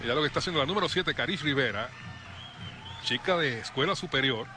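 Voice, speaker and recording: A man calling play-by-play for a youth football match, brisk and lively on attacks. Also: medium pitch, music in the background. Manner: brisk, rising excitement on attacks. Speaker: play-by-play commentator.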